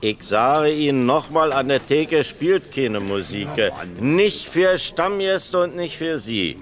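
An actor playing a brusque, grumbling pub landlord in a radio crime play, speaking colloquially. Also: male voice, on the high side, animated, takes it slowly. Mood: grumpy.

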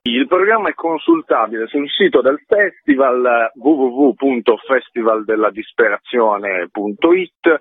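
A man's voice: mid-range voice, slightly rough. A man speaking as a festival organiser in a radio interview, articulate, in long flowing sentences. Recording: tinny phone line, dry.

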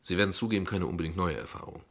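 An actor playing a guarded, ironic father in a radio play, speaking in measured, eloquent, formal sentences. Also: low-pitched, lively intonation. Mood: ironic, defensive. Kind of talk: radio play dialogue acting.

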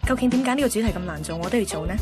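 A woman's voice: medium pitch, expressive, very fast, music in the background. A woman with a Hong Kong Cantonese accent giving scripted radio narration in a confiding, advisory manner. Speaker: narrator-host.

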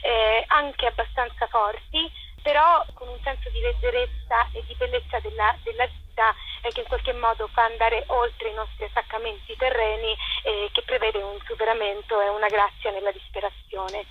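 A woman being interviewed as an actress and writer, explaining thoughtfully and haltingly as she searches for words, over a lo-fi phone-like line. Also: fairly high pitch, steady tone, breathy edge. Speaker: actress and co-author interviewed about her play. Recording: muffled lo-fi phone-like line with noise.

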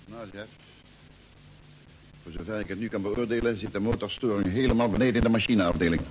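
A man playing a spaceship engineer in a radio drama, blunt and pragmatic. His voice is on the low side and matter-of-fact, on an old recording with faint noise. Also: a little fast, darkish tone, close to an everyday voice, rough recording. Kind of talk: Dutch radio play acting.

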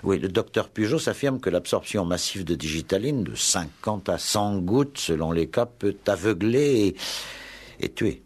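Polite careful detective, deep-ish rough-edged voice, old french radio drama. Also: male voice, animated, exaggerated voice. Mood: tactful, probing. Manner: polite, deliberate questioning. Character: courteous, discreet, measured male police inspector. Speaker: radio play actor. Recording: vintage 1960s radio play recording.

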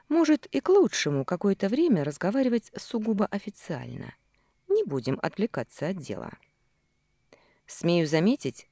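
A woman reading a first-person novel aloud as an audiobook narrator, in a measured, expressive storytelling way with dramatic pauses. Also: low voice, no echo, studio quality. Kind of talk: first-person fiction narration from a male hero's view.

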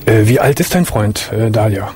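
A man hosting a radio call-in show from a studio, calm and asking short follow-up questions. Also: fairly low pitch, normal speed, slight background noise. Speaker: late-night call-in radio host. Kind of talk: relaxed call-in radio talk. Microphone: studio microphone.

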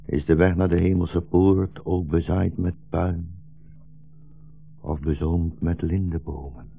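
An actor playing a weary, resigned old blind rabbi in a 1960s radio drama, measured and formally polite, with a meek irony. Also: male voice, deep voice, fairly even intonation, creaky, husky, full character voice. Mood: solemn musing. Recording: dull 1960s radio recording, music behind.

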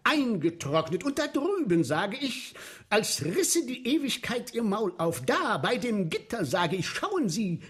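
An actor playing a boastful showman of a gruesome arena in a theatrical German radio drama, moving between hushed murmurs and loud barker's calls. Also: high-pitched, very animated, measured pace, slightly rough, exaggerated voice. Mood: proclaiming, grandiose.

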